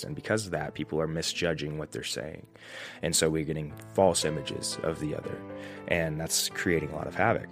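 A man's voice: rumbling low, vocal fry. A man hosting a solo podcast, getting over a cold, thinking aloud in a rambling, reflective way with many restarts. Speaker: podcast host.

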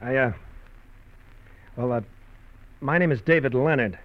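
On a dull vintage radio recording, an actor plays an anxious husband with a guilty secret. His mid-pitched voice is hesitant and uneasy. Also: unhurried, lightly acted. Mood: nervous, confused. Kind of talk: 1940s radio acting.

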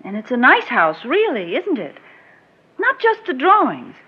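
Genteel, guarded married woman, breathy voice with big melodic swings, muffled vintage radio drama. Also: high-pitched, wildly swooping pitch, measured pace, heavily acted. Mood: guarded, defensive. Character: proud, guarded married woman resisting an old flame. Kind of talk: classic radio drama acting. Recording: muffled old radio recording.

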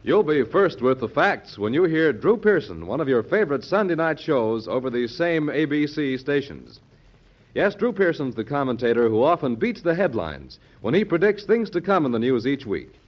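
Dull old radio recording, male American announcer, lively intonation, 1940s network delivery. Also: fairly high pitch, normal speed.

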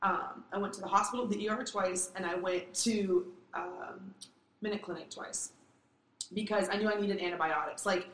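A raspy-voiced woman in her twenties chatting candidly and telling a personal story. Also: medium pitch, fairly even intonation, normal speed, a bit bright, a little hiss or hum. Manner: candid storytelling, swears freely. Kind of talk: casual chat. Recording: echoey room.

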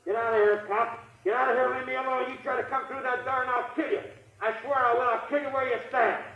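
An actor playing a sullen, wisecracking young army deserter in a 1950s radio crime drama. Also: male voice, fairly high pitch, fast, bright, forceful tone. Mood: threatening. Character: sneering defiant young male army deserter gunman. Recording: dull old radio broadcast.

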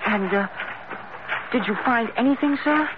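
A man's voice: extremely high pitch, measured pace. A male actor playing an eager, well-mannered boy in an old-time radio adventure, polite and earnest. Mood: anxious, eager. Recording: muffled, noisy old radio recording.

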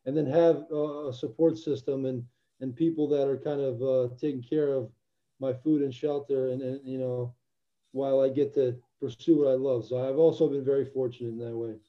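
A man's voice: medium pitch, steady tone, normal speed, highs cut off. A young man from Albania, a former football player, answering interview questions in an earnest, reflective way with many restarts. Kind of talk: casual interview.

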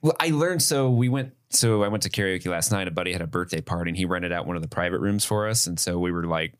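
A man co-hosting a podcast in casual chat, playful and quick to laugh. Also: deep voice, clear, resonant tone. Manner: playful, bursts into sung snippets and laughs. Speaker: podcast co-host.

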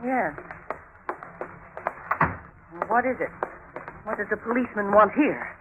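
An actress playing an excitable, teasing German woman in old-time radio comedy-drama, theatrical and exclamatory. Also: mid-range voice, moderate pace, natural voice. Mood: mocking, irritated. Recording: dull, noisy old radio recording.

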